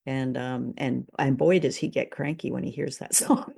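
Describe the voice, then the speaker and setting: A woman's voice: very deep voice, steady tone, normal speed, vocal fry, dark tone, breathy edge. A woman co-hosting a podcast in a casual, conversational film discussion. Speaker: podcast co-host.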